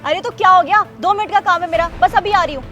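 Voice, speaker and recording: A woman's voice: very high-pitched, quick pace, lo-fi sound, soft background music. An actress playing an arrogant rich young woman who berates a guard in a short drama skit. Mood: dismissive. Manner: rude and shouting, later softly apologetic.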